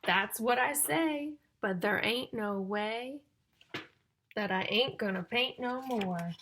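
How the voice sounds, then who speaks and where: A woman with a fairly high voice leads a children's story time. She reads in an exaggerated sing-song, stretching words out at an unhurried pace and turning to her young listeners with questions. Some background noise sits behind her. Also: fairly bright tone. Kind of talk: children's picture book read-aloud with questions and explanations.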